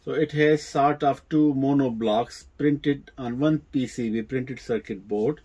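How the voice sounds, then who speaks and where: A man with a non-native English accent giving a casual, unscripted demonstration in a halting, hesitant way with repeated words. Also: medium pitch, mellow, breathy edge, muffled recording. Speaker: presenter of vintage audio equipment videos.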